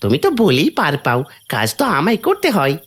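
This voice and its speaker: A man's voice: a little high, wildly swooping pitch, a little fast, a bit bright, heavily acted. A man playing a grumbling, easily frightened village thief in a cartoon folk tale, whining and exclamatory. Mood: grumbling awe. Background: background music.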